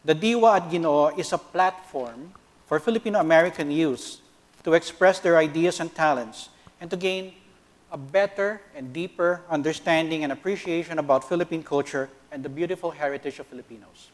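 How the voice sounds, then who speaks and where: Higher-pitched man hosting a discussion. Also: fairly quick. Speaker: host, consulate official. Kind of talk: moderating a roundtable talk.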